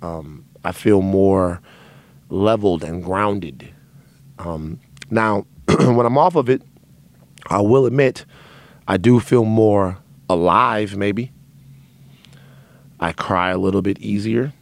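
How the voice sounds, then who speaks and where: Raspy, gravelly-voiced man hosting a podcast. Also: fairly low pitch, slow pace, pauses often, no room sound. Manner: candid, rambling, with frequent um and uh. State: dry mouth, dry throat. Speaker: podcast host. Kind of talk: casual monologue to listeners.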